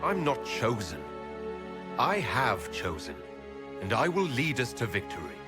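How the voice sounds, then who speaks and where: An actor playing a humble but firm young heroic leader in a fantasy game, with an earnest, deliberate delivery. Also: male voice, medium pitch, wildly swooping pitch. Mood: resolute. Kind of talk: dramatic fantasy game acting. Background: loud orchestral music.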